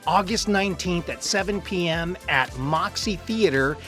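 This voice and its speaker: Higher-voiced playful male interviewer. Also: unhurried, fairly bright tone, soft background music. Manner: playful, bantering. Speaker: interviewer and fellow actor. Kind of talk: casual promotional interview hosting.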